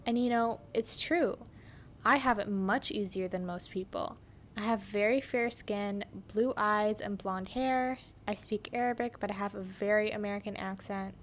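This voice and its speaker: A woman's voice: mid-range voice, fairly even intonation, moderate pace, a little hiss or hum. Young woman narrating a personal podcast. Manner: reflective, personal storytelling. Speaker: podcast host. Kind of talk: scripted narration.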